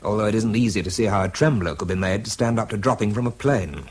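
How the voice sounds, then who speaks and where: Very low-voiced, weary British man. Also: fast talker, husky. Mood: dry, matter-of-fact. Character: wry, weary, self-deprecating male wartime bomb expert. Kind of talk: radio drama narration and dialogue.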